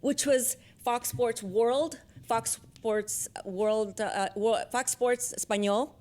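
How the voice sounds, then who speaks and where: A woman's voice: a little high, steady tone, takes it slowly, darkish tone. A woman born in Costa Rica and raised in Los Angeles, chatting casually as a talk show guest and telling stories about herself. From born in Costa Rica, grew up in Los Angeles. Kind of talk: casual chat, telling career anecdotes.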